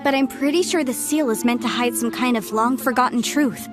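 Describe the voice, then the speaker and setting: A woman's voice: fairly high pitch, fairly quick, lightly acted. An actress playing an earnest young woman researcher explaining ruins in game dialogue. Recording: game audio with music underneath.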